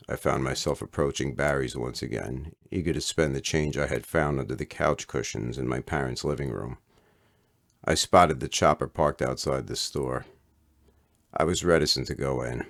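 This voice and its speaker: A middle-aged man reading an autobiographical story as its author, in a measured, literate storytelling delivery. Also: very deep voice, vocal fry, dry recording, studio quality. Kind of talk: scripted autobiographical narration.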